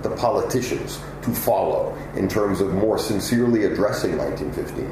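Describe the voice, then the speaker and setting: A man giving measured, articulate and emphatic answers as an interview guest. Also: lowish voice, expressive. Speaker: interview guest, political analyst. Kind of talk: answering interview questions at length. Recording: echoey room with loud ambient noise.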